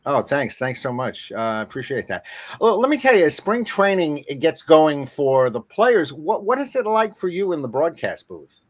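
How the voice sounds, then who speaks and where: A man hosting a conversational sports interview, putting his questions politely in halting, broken-up phrases. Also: fairly high pitch, sing-song. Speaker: interview show host.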